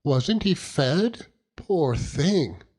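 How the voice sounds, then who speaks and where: Coy young sweetheart played by a male reader, raised pitch, ingenuous and petulant, classic storybook style. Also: big pitch swings, drawn-out words, a little mellow, breathy edge, heavily acted. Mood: innocent concern. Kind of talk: audiobook character voice.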